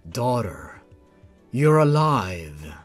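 A man voicing a towering faceless monster father in a storybook reading, formal, stern and paternal, with a deep tone. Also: sing-song, very slow, faint music behind. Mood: moved.

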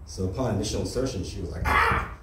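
Young American male stand-up, low voice, deadpan and casual, in a noisy small club with a distant mic. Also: fast talker. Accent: General American. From United States. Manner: deadpan, casual, leans on "right?" and "you know?". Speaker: stand-up comedian. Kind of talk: stand-up comedy set. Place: small comedy club stage, indoors. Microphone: handheld mic on stand. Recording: roomy club sound from a far camera.

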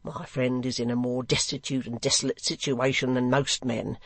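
A man's voice: mid-range voice, level tone, normal speed, gravelly, a bit bright, no room sound. A man voicing a sly, mock-courteous blind extortioner in a theatrical, insistent storybook reading. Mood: businesslike, callous.

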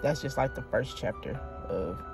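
A woman vlogging from inside her car, reading aloud haltingly and chatting straight to her viewers. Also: rumbling low, lively intonation, vocal fry, over music. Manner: halting read-aloud, stumbles and restarts; chatty, talks directly to viewers. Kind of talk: casual vlog, reading aloud.

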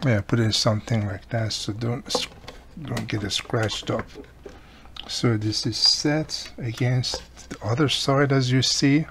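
A man's voice: mid-range voice, steady tone, slow, vocal fry, noisy background. A man at a workbench patiently explaining a repair step by step, with slightly non-native phrasing, recorded by an overhead camera mic. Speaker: radio repair technician. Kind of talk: hands-on repair tutorial. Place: workbench, indoors.

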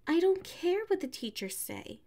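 A woman voicing a prickly, haughty young tsundere classmate with a hidden soft side, in an ASMR audio roleplay. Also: very high-pitched, big pitch swings, full character voice. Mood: indignant, huffy. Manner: haughty, huffy, sighing, drawn-out phrasing.

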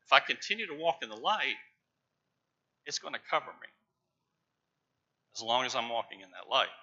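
A middle-aged man preaching from a church pulpit, stressing key words with emphatic, rising loudness. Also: medium pitch, big pitch swings, moderate pace. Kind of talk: sermon. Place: large church sanctuary with pews. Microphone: lapel mic, far camera. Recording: dull church livestream audio.

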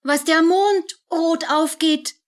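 A woman's voice: very high voice, steady tone, slow. An actress playing an uneasy young woman in a radio play, speaking in short, fearful phrases. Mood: frightened. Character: anxious young woman, uneasy lover of a soldier.

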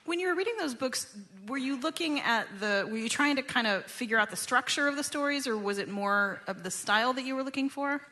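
Female radio host, fairly high and bright, conducting a casual interview. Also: lively intonation, brisk, hardly any pauses.

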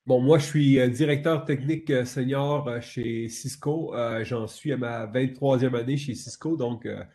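A middle-aged man introducing himself on a video call panel in a hesitant, halting way. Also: mid-range voice, mellow. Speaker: panelist, senior technical director. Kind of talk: unscripted self-introduction on a panel.

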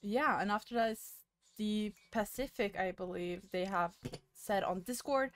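A young woman hosting a movie reaction video from her bedroom, her voice a little raspy from just waking up, chatting casually and exclaiming at scenes. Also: medium pitch, a little slow, dry recording. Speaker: movie reaction channel host. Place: small home bedroom studio. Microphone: studio mic on arm, headphones.

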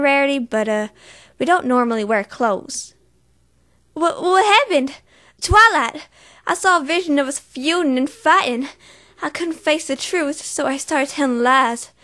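A woman's voice: sits high, unhurried, fairly bright tone. A woman voicing an honest, hardworking female cartoon farm pony with a Southern US drawl and a plainspoken manner. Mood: earnest. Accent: Southern US (put-on). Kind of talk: cartoon voice acting reel.

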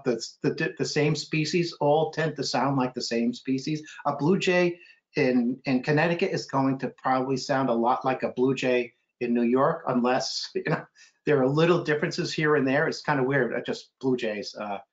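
A middle-aged American man chatting casually as a guest author, answering questions on a video call. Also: medium pitch. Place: indoor room on a video call. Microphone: computer video call. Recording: dull, lo-fi video call audio.

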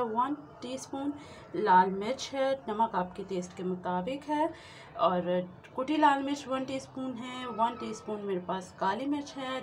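A woman, hosting a cooking channel and explaining a recipe step by step to her viewers in a friendly way. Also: a little high. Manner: friendly, addresses viewers directly, mixes in English words. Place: home kitchen. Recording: noisy phone recording over sizzling pan. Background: frying and sizzling.

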